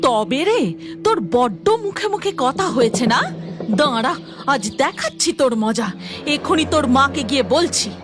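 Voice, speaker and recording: Woman voicing a shrill, spiteful scolding cartoon aunt. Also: lively intonation, unhurried, music in the background. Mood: angry, accusing. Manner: sharp, accusing, scolding. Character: spiteful, scolding younger aunt bullying her nephew and sister-in-law.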